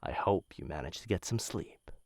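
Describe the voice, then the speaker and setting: A man acting a dangerous, possessive noble assassin in an intimate roleplay, with courtly, measured and softly menacing delivery. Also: low-pitched, animated, slight background noise. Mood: quietly threatening. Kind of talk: boyfriend ASMR roleplay, intimate scripted acting.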